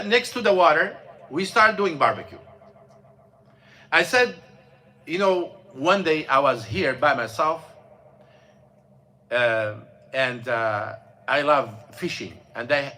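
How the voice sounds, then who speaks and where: Bright-voiced man telling a story. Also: mid-range voice. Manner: storytelling, halting with many fillers and restarts. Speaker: imam. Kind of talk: mosque class talk. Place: mosque classroom.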